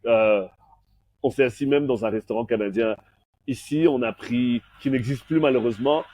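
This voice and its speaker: Un homme d'une quarantaine d'années, né en Belgique et élevé au Congo, invité d'une interview, qui mêle le français et l'anglais en parlant. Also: male voice, medium pitch, normal speed, darkish tone, slight background noise. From born in Belgium, raised in Congo. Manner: switches between French and English mid-sentence. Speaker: guest, master of ceremonies. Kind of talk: casual interview chat.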